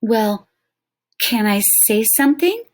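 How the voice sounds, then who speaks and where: Woman reading as a young boy in a storybook: high, eager, pleading, tripping over words. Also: lively intonation, slow pace.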